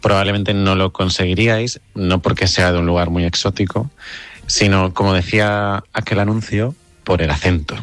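A man's voice: low-pitched, fairly even intonation, moderate pace, no echo, faint music behind. A man from Cádiz with no regional accent, delivering a wry, self-deprecating monologue as a listener's phone voice note. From Cádiz, southern Spain.

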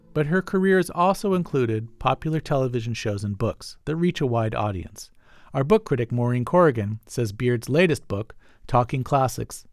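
A man hosting a radio interview show, asking questions and introducing segments in a measured, conversational way. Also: medium pitch, no room sound, studio quality.

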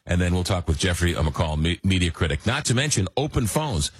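A man's voice: sits low, big pitch swings, brisk, breathy edge, no room sound. A man hosting a late-night radio talk show, rambling casually with many fillers and restarts, just getting over a cold, in a studio. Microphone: studio microphone.

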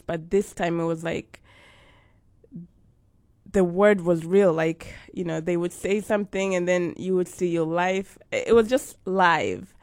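A young adult woman from Uganda, telling her life story as an interview guest, slow and thoughtful with frequent fillers. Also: fairly low pitch, darkish tone, no echo. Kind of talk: interview about life and faith.

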